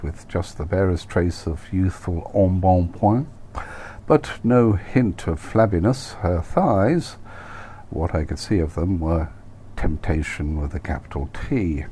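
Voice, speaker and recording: A man reading his own novel aloud as scripted first-person narration in a wry, mannered way. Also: deep voice. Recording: clear steady background noise.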